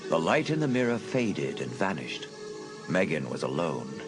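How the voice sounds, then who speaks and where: A man narrating an audiobook drama in a measured, suspenseful way. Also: extremely low pitch, very animated. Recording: narration over a music bed.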